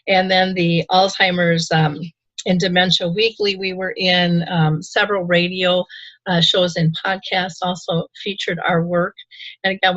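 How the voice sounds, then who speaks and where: A woman's voice: on the low side, dry recording, muffled recording. A woman hosting a radio talk show, chatting enthusiastically with frequent ums.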